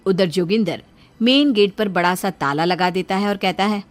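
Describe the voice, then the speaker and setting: A woman reading scripted narration for a moral story in a dramatic, expressive manner. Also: medium pitch, normal speed.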